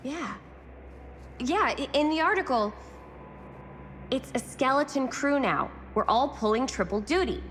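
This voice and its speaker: Casting: determined American girl, young adult, high-pitched, natural game cutscene read in an echoing space. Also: lively intonation, a little slow, pauses often, a bit bright. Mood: focused, intrigued. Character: curious, determined young woman leading a search. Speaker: video game character. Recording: echoing hall, faint ambient noise.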